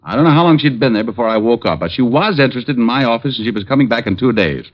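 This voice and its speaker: An actor playing a quick-witted, wisecracking private detective in an old radio drama. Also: male voice, mid-range voice, lively intonation, close to an everyday voice. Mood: reasoning. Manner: sardonic, wisecracking, smooth reasoning. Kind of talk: 1940s-50s radio detective drama acting. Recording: muffled old-time radio recording.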